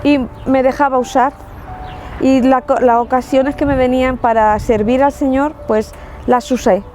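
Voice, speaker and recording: A woman's voice: sits high, fairly even intonation, moderate pace, a little mellow, slight background noise. A woman from La Mancha in Spain with a Spanish accent, telling her personal faith testimony in an earnest, reflective way with frequent restarts. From Spain, Ciudad Real. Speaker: testimony speaker.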